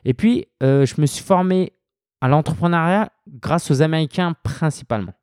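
A man from France hosting a solo business podcast, talking straight to the listener in a relaxed, conversational way with frequent hesitations. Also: on the high side, moderate pace, no echo, clean studio sound. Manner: conversational, addresses listener directly with many fillers. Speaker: podcast host.

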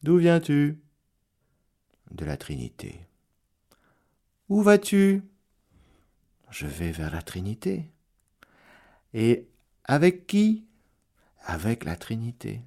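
A male priest giving a radio sermon, preacherly, rising to loud exclamations and dropping to hushed prayer. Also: mid-range voice, wildly swooping pitch, vocal fry. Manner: slow, deliberate delivery. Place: radio studio.

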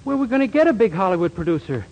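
Fast-talking naive young man. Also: sits high, big pitch swings, darkish tone, dull audio, exaggerated voice. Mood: worried. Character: naive, earnest, bumbling young man, a hapless store employee. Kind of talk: 1940s radio sitcom acting. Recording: noisy 1940s radio recording.

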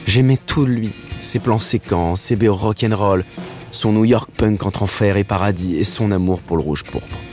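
A man. He narrates a scripted first-person story. His delivery is wry and slangy, broken by dramatic pauses. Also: fairly low pitch, music in the background. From Paris, France. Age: 30s. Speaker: narrator telling his own life story.

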